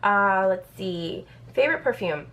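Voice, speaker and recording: A woman's voice: medium pitch, expressive, unhurried, pushed and bright. A woman in her thirties from Brazil, chatting casually through a get-to-know-me Q&A on her vlog and reading questions off a paper with plenty of "um"s. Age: 30s. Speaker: beauty vlogger.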